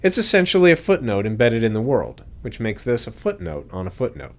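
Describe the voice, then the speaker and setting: Man, medium register, animated melody, scripted developer commentary. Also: mid-range voice, a little fast. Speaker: game developer.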